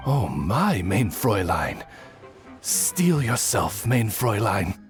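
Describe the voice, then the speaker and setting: A man voicing a wry, formal, courtly male familiar in a video game, deliberate in manner. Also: on the low side, very animated, faint music behind. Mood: dry, formal.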